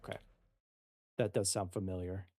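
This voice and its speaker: A man playing a tabletop game, chatting casually in a tentative, halting way. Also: deep voice, steady tone, dark tone, no room sound, studio quality. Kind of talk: casual chat.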